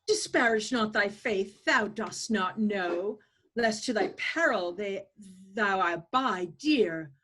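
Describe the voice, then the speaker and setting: A woman's voice: mid-range voice, expressive, slow pace, bright, forceful tone, heavily acted. A woman playing a proud, scornful young man in an amateur Shakespeare verse table read.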